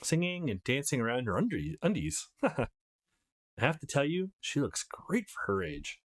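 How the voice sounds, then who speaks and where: Visual novel role: male reader as feisty young woman, foul-mouthed tease, blunt gossip, very lively intonation. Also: a little high, moderate pace. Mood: gleeful storytelling. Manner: cheeky, blunt, gossipy storytelling.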